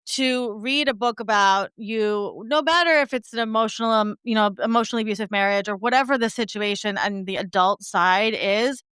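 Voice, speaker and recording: A woman's voice: medium pitch, a little fast, hardly any pauses, a bit bright, clear, resonant tone, no room sound. A woman hosting a conversational interview podcast, speaking in an effusive, appreciative way. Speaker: podcast host.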